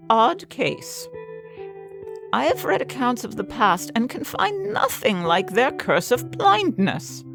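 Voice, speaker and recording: Woman acting a stern, expressive prioress over music. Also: mid-range voice. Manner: firm, grave, forthright. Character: hard, devout middle-aged prioress, stern and weary. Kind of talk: dramatized audiobook dialogue.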